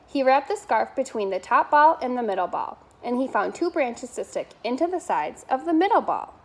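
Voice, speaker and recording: A woman's voice: high-pitched, very animated, moderate pace. A woman reading a children's bedtime story aloud as a member of school staff.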